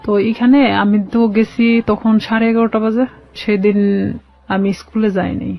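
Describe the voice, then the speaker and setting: A woman with a Bangladeshi accent telling a personal story as a radio guest, halting and repeating phrases as she explains. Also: fairly high pitch, steady tone. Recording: slightly dull, lo-fi radio sound.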